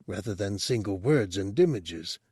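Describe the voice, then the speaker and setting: A man's voice: on the low side, animated, normal speed, darkish tone, slightly rough, no room sound, studio quality. A man voicing a small, sly but earnest reptilian alien in an audiobook story. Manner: earnest, respectful pupil.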